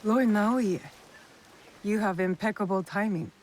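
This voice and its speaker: A woman voicing a determined heroine who questions lords directly and calmly in game cutscenes. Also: medium pitch, a little slow, a little hiss or hum, close to an everyday voice. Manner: direct, probing and calm. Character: cool determined female shinobi heroine. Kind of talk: video game cutscene acting.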